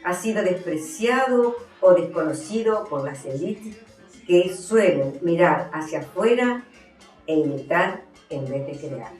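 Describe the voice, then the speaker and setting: A woman with an Argentine accent presenting her books as an author, in a deliberate, explanatory way. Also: on the low side, expressive, darkish tone, soft background music. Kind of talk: book presentation, explanatory talk with some reading. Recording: echoey, somewhat distant from the mic.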